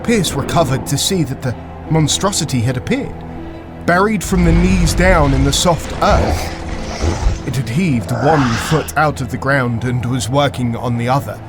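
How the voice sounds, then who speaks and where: Slow, dramatic male fantasy narrator over music. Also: mid-range voice, animated. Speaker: audiobook narrator.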